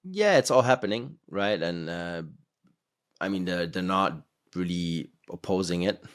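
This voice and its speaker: A man's voice: sits low, lively intonation, unhurried, clean tone. A man hosting a podcast, rambling in casual conversation with many fillers. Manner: rambling, filler-heavy, laughs between points.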